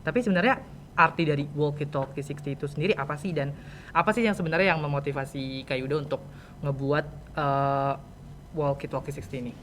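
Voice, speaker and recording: A man's voice: on the high side, steady tone, fairly quick, a bit bright. A young man with a Jakarta Indonesian accent hosting a casual, chatty interview and talking straight to his listeners. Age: teenager or young adult. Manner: chatty, informal, addressing listeners directly. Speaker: podcast host. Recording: clear background noise, room ambience.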